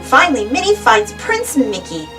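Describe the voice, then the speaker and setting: A woman reads a children's story aloud in a high voice. Her narration swings widely in pitch. The mic sounds a little removed, and music plays behind her. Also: wildly swooping pitch, moderate pace, a bit bright, recorded from a distance. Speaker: storybook reader.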